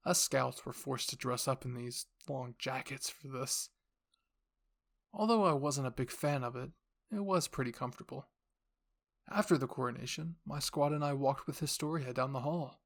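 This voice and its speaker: A storyteller narrates first-person fan fiction in a mid-range voice. The delivery is dramatic and suspenseful, easing quieter for the tense moments. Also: medium pitch, normal speed, creaky. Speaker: narrator and voice actor of all characters.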